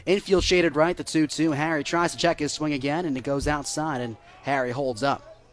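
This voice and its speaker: A man with an American accent and a slightly high voice calls baseball live for radio. He keeps a measured delivery between pitches and pushes harder with excitement when the ball is in play. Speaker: play-by-play broadcaster.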